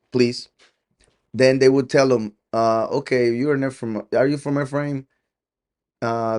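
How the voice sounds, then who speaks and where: A man's voice: mid-range voice, a little mellow. A middle-aged man leads a scripture study in an easy, conversational way. He stumbles and restarts and laughs lightly as he talks. When he quotes someone, he acts the line out in a changed voice. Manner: conversational, laughs often, with many restarts and fillers; acts out quoted lines with a shifting voice. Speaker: preacher. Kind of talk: Bible study teaching.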